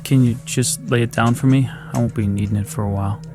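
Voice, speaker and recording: A man's voice: mid-range voice, fairly even intonation, quick pace, natural voice. An actor playing a modest, easygoing young wounded soldier who is respectful to his officer, in a radio drama. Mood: friendly, relaxed. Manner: modest, deferential. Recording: dramatic music behind the voice.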